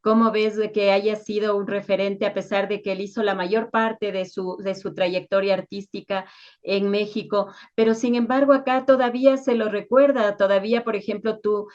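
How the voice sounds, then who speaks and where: A woman's voice: medium pitch, steady tone, normal speed, a little mellow. A woman with a Quito Ecuadorian accent, interviewing in a conversational way. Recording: dull, lo-fi sound.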